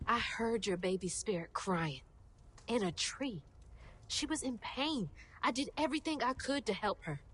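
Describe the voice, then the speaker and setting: A woman's voice: on the high side, animated, normal speed, fairly bright tone, breathy edge. An actress playing a brave, determined young woman, a protective daughter, in a dramatic video game style. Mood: resolute. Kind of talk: dramatic video game voice acting, some narration.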